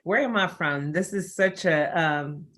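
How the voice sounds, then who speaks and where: A woman's voice: on the low side, measured pace, fairly bright tone. A middle-aged woman from Congo, raised in Belgium, answering interview questions in a chatty way with many fillers and restarts. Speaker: interview guest.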